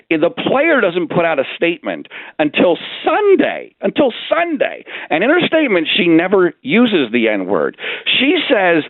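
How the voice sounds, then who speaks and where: Animated, argumentative man, dull radio sound. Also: on the high side, no room sound. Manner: emphatic, argumentative, indignant. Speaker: guest commentator on a radio show. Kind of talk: opinionated political talk.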